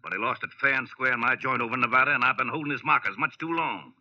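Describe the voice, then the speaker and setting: A man's voice: mid-range voice, racing, pushed and bright. An American man playing a grim, threatening Western gambling boss with folksy tough talk, in 1940s radio acting style. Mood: menacing. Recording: muffled old-time radio recording.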